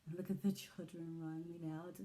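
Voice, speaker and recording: A woman reading a picture book aloud to young children in an expressive, deliberate manner, with little asides to her listeners. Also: on the low side, slight background noise. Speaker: children's story reader, Montessori educator.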